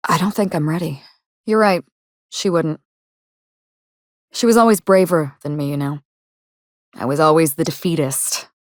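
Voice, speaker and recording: A low, closely recorded, dry-sounding voice for a video game acted by a woman: a proud actress in mourning. She speaks in measured, formal phrases, wistful yet wry, with a quietly ruthless streak. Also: no echo, close to an everyday voice. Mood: despairing, reflective. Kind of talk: video game dialogue acting.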